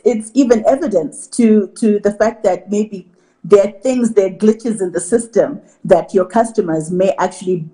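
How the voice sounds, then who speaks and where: A middle-aged woman from Zimbabwe, a marketing executive answering TV interview questions in an articulate, measured way. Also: medium pitch, warm tone, low-quality recording.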